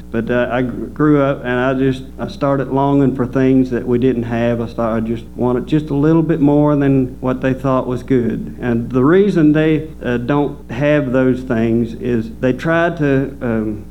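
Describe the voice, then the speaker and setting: A man from Delaware who grew up in rural Kentucky, with Pennsylvania Dutch as his first language, shares his faith testimony haltingly with frequent hesitations and soft chuckles. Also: medium pitch. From Delaware, raised in rural Kentucky. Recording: dull lo-fi recording with faint hum.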